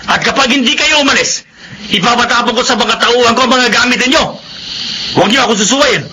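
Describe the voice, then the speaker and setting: Bullying filipino loan shark in a radio drama, sharp piercing high voice, scornful and threatening. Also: male voice, fast talker, a little hiss or hum, heavily acted, rough recording. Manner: menacing, scornful, domineering. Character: ruthless, bullying moneylender, husband and father.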